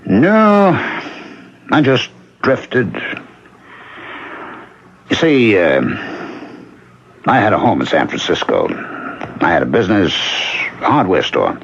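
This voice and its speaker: An actor playing a gentle, plain-spoken widowed drifter with a halting, conversational manner in an old-time radio drama. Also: male voice, on the low side, sing-song, breathy edge, heavily acted. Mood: sorrowful, confiding. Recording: muffled vintage radio broadcast.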